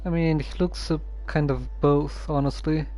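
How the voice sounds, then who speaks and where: A streamer casually commenting on a story game with a hesitant, musing, deadpan way of talking. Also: medium pitch, moderate pace, faint music behind.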